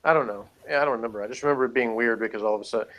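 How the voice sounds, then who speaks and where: Male review co-host, mid-pitched, rambling and matter-of-fact, informal conversation. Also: very fast, lo-fi sound. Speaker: podcast co-host reviewing a TV show. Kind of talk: casual review chat.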